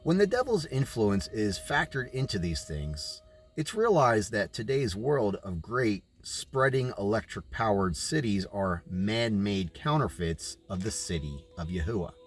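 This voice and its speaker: A man reading a book aloud as a narrator, in a deliberate way with informal asides. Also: on the low side, sing-song, faint music behind.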